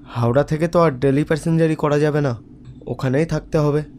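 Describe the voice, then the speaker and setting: There is faint noise around a male actor playing a young office worker with a slightly high voice. He talks casually and with resignation, as a cartoon character. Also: steady tone, fairly quick, darkish tone, natural voice. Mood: resigned. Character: young man, resigned office-working brother. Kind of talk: cartoon voice acting.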